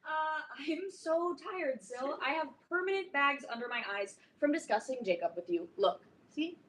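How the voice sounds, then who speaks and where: A woman's voice: sits high, lively intonation, normal speed. A young woman in a staged reading, playing a proud, weary teenage friend with a dry delivery. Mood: exasperated. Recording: echoey, distant, noisy stage recording.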